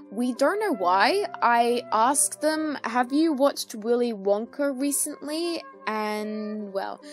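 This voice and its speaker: A woman answers fan questions as a casual host. She speaks slowly in a fairly high voice, rambling and hesitating with light amusement. Quiet music plays underneath. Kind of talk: casual Q&A chat. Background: soft music.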